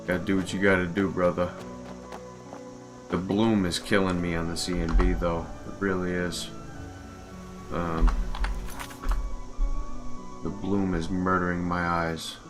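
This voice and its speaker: A low-voiced man streams a video game with casual commentary. He talks informally, half to himself, while game music plays clearly behind him. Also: little pitch movement, unhurried, long pauses. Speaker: streamer and mod creator.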